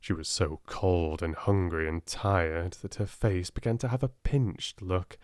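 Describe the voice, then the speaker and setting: Audiobook narrator: British man, soft-spoken and unhurried, warm dark tone, gentle storybook delivery, close and dry. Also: deep voice, no echo.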